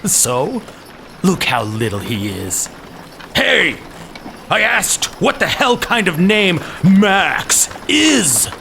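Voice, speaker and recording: A man's voice: high-pitched, big pitch swings, very slow, gravelly, sharp tone, a little hiss or hum, exaggerated voice. An actor playing a brash, taunting young male ruffian in an audio drama. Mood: belligerent. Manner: drawling, taunting.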